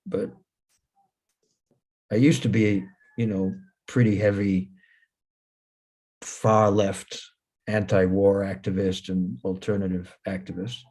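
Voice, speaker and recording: Older man in his 70s, Hebrew native speaker, low voice, wry and blunt, stumbles and repeats, video-call audio. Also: little pitch movement, slow pace, pauses often, darkish tone. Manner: repeats himself, plain-spoken, wry. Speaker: speaker at a talk. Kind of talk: casual talk.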